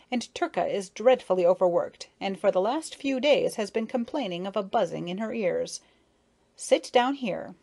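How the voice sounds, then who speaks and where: A woman's voice: mid-range voice, vocal fry, darkish tone. A woman plays an elderly female bee who teaches the young in a children's storybook. She is strict and fussy, lecturing with exasperated, sighing firmness. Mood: brisk, urgent. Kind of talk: children's storybook character reading.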